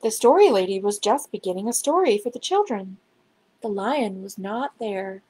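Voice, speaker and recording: A woman reading a children's storybook aloud in an expressive, dramatic storytelling manner. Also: mid-range voice, moderate pace, a little hiss or hum, rough recording. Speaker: children's book reader. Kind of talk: storybook narration, read aloud.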